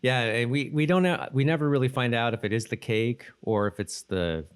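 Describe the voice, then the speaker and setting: A man's voice: mid-range voice, brisk, clear, resonant tone. A man co-hosting a podcast, chatting casually and rambling a bit as he loosely retells scenes and dialogue. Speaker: podcast co-host. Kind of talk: casual conversational recap chat.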